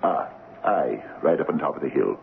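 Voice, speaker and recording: An actor playing a folksy Scottish house agent, a hesitant, rambling rural bachelor in a 1970s radio drama. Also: male voice, a bass voice, sing-song, normal speed, gravelly, heavily acted. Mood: affable, chatty. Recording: muffled, lo-fi old radio broadcast, faint noise.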